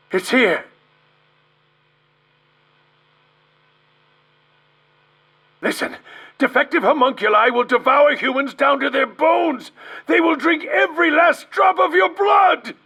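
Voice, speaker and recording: An actor playing a distraught researcher in a video game, raspy and gravelly, speaking in a grave, halting, theatrical way. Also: male voice, very high voice, sharp tone, full character voice, poor audio. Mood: panicked, despairing. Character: distraught male researcher recording a grim last testimony. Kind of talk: dramatic video game acting.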